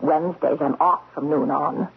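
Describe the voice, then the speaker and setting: Blunt, chatty housekeeper, tinny radio. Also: female voice, low voice, steady tone, normal speed, gravelly, slightly rough, exaggerated voice. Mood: matter-of-fact. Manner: folksy, talkative, blunt. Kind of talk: radio mystery drama acting. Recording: muffled, noisy old radio broadcast.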